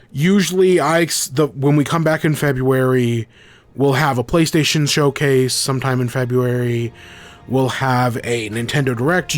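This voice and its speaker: A young man hosting a gaming podcast in a casual, rambling way, congested from a cold with a scratchy throat. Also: medium pitch. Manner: rambling, self-correcting. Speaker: podcast host. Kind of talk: casual livestream chat.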